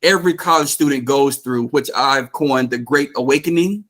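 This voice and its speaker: A man's voice: mid-range voice, moderate pace, a bit bright. An American man in his fifties chatting and telling stories as an interview guest, in an enthusiastic, affable manner. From Georgia, United States. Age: 50s. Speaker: interview guest, real estate investor. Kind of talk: casual conversational storytelling.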